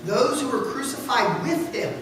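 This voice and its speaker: A pastor, a man, gives a dramatic scripture reading with a theatrical, deliberate, projected delivery in a church. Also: very high-pitched. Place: large church interior. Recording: echoey church hall from far off, noisy.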